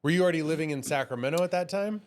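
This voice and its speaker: A man's voice: fairly high pitch, very fast. A man co-hosting a podcast, talking casually and enthusiastically, asking the guest questions. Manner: enthusiastic, casual "bro" talk. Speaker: podcast co-host. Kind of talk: casual chat.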